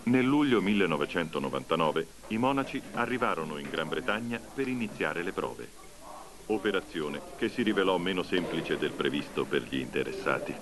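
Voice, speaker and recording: A man reading scripted documentary narration in a measured, formal way. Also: deep voice, animated, crowd noise.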